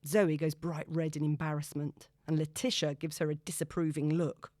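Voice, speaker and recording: A woman narrating a novel in a wry, expressive storytelling manner. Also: low voice, moderate pace, dry recording, clean studio sound. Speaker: audiobook narrator.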